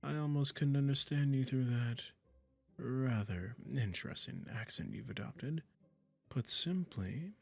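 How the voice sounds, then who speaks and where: A man playing a smug, possessive, doting male witch in an intimate roleplay audio, cooing condescendingly. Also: fairly low pitch. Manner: condescending, cooing, breathy endearments. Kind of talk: intimate ASMR roleplay acting.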